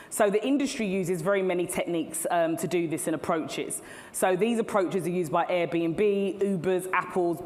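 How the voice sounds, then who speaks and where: A woman's voice: fairly low pitch, darkish tone. A middle-aged woman giving a chatty keynote talk to a live audience, laughing at her own asides. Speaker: keynote speaker. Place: large hall at a tech event. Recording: long hall reverb, faint ambience.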